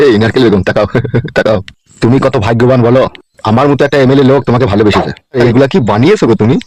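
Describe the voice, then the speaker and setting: A man's voice: medium pitch, racing, a little mellow, dry recording, close to an everyday voice. A man voicing a crude, self-important, teasing village official in a comic cartoon. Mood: flirtatious, smug. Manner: teasing, insinuating, vulgar.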